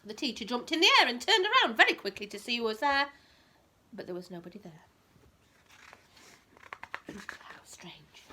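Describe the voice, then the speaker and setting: A woman's voice: on the high side, very animated, normal speed, pauses often, background noise. A woman reading a storybook expressively to a small child, explaining things in simple words as a teacher would. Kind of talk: animated storybook read-aloud with asides explaining words.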